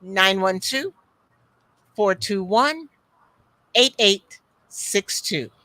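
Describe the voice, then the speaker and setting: A man's voice: high-pitched, lively intonation, drawn-out words, bright, forceful tone, dry recording. A man co-hosting a live-stream talk show, explaining tips in an encouraging way. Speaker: co-host.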